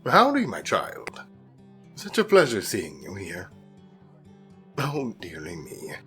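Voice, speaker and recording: A man's voice: fairly low pitch, wildly swooping pitch, slow pace, soft background music, full character voice. A man playing a swooping, genial monster dad. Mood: cheery, polite. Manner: courtly, old-fashioned, gentle. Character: genial, kindly middle-aged monster dad, flower shop owner. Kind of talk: audio roleplay acting.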